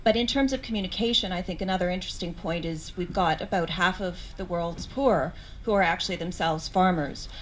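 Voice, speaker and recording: A woman representing a coalition, asking a measured, articulate question from the floor. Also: fairly low pitch, slight background noise. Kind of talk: audience question at a conference.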